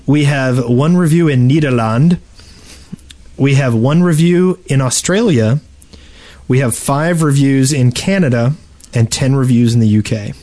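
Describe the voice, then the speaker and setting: A man's voice: medium pitch, a little slow, warm tone. A man co-hosting a podcast in casual, chatty conversation. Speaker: podcast co-host.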